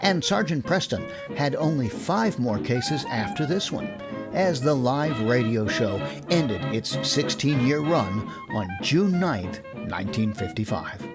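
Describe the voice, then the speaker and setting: A man's voice: mid-range voice, very animated, measured pace. A man delivering a scripted, radio-style host's sign-off. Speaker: host and announcer. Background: loud music.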